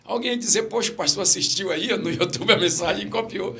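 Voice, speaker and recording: A man's voice: sits high, fast, sharp tone. A middle-aged man from Brazil, a pastor with a stammer, telling stories in casual podcast chat and repeating his key phrases.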